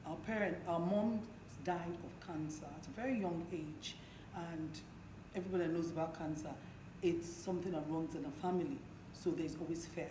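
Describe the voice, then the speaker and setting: A hoarse woman from Nigeria, hosting a talk show in a conversational interview style. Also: lowish voice. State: hoarse, partly lost voice. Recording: echoey room, clearly noisy background.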